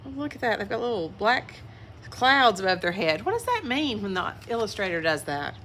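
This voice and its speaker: A woman's voice: medium pitch, very animated, normal speed, pushed and bright, slight background noise. A woman reading a children's picture book aloud expressively, with asides to young listeners. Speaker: children's story reader.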